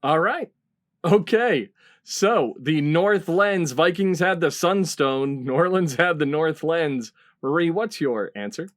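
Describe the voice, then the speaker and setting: Measured, higher-pitched man hosting a quiz. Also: lively intonation. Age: middle-aged. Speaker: quiz show host. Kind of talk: hosting a comedy panel quiz. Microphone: video call.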